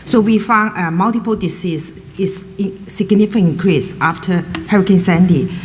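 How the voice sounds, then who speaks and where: A woman's voice: mid-range voice, moderate pace, a little mellow, soft background music. A woman professor on a panel, speaking tentatively and with plenty of fillers into a panel microphone at a public event. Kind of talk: panel discussion answer. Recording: roomy hall reverb, faint noise.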